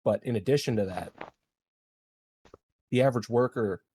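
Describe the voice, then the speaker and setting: A man puts on a populist male politician at a debate in a tabletop roleplaying game. He speaks in grave, deliberate phrases with pauses, railing like an orator. His mid-pitched voice is recorded very dry. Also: mellow, breathy edge, no room sound, lightly acted. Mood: solemn accusing. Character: populist male political candidate railing against corrupt elites.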